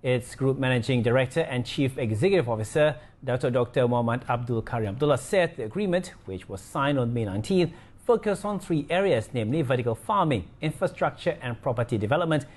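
A man in his thirties presenting the news from a studio, reading a scripted report in an even, formal manner. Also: mid-range voice, fast talker, hardly any pauses.

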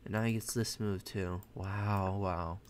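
Young American chess streamer with Mandarin as his first language; relaxed live commentary, talks through moves and chats with viewers. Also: low voice, a little slow. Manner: thinks aloud, chatty, self-correcting. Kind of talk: casual live commentary while playing. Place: bedroom at home, indoors.

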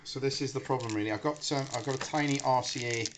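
A man giving a casual, halting demonstration at a workbench, recorded on a handheld camera. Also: mid-range voice, fairly even intonation. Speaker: hobbyist electronics builder presenting. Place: indoors at a cluttered workbench. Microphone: handheld camera microphone. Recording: noisy handheld camera in room.

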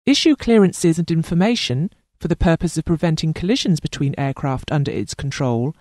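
A training course narrator reading scripted instructional material in a formal, measured way, enunciating lists carefully. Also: mid-range voice, warm tone, dry recording, studio quality.

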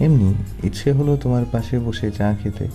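A man's voice: medium pitch, a little fast, mellow, faint music behind, natural voice. A man playing a shy young doctor husband in an audio story. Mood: shy, tender.

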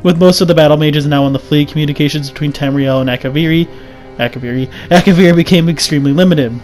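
Hoarse young man reading game lore. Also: on the high side, fast, a little mellow, faint music behind. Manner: stumbles and restarts words while reading. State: sick, scratchy throat. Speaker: lore video narrator. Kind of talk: read-aloud lore narration over gameplay.

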